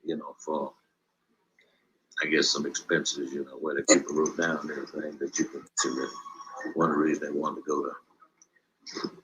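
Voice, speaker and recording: A man's voice: a bass voice, flat delivery, normal speed, long pauses, noisy background. A man, an author, reflecting on local history in a rambling interview.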